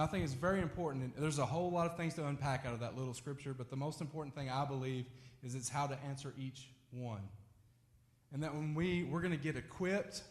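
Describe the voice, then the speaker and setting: Man addressing a church in a roomy hall. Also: mid-range voice. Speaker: church event host introducing speakers. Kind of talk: informal spoken address to a church audience.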